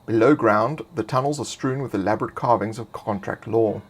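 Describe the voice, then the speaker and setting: A man reading scripted fantasy game lore in a measured, expository way. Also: lowish voice. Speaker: narrator.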